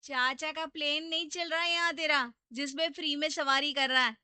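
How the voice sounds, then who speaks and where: A woman's voice: sits high, fairly even intonation, normal speed, sharp tone, no echo. A woman voicing a blunt, bossy, burly young female bus conductor in a storybook tale.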